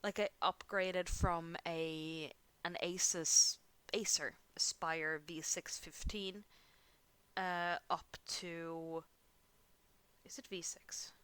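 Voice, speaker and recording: A Swedish woman with a slightly low voice rambles through a casual drawing vlog, giggling and poking fun at herself. English is her second language, and she speaks close into a headset mic at home. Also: steady tone, takes it slowly, fairly bright tone, clear, resonant tone, dry recording. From Sweden. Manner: rambling, giggly, self-deprecating. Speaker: art channel host. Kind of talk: casual chat over drawing. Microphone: headset close to mouth.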